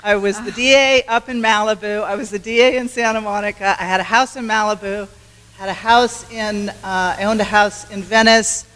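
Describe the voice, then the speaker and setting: A woman giving a campaign speech to a club meeting, loud and self-assured, with restarts. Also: mid-range voice, steady tone, moderate pace, big-room sound, rough recording. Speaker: congressional candidate.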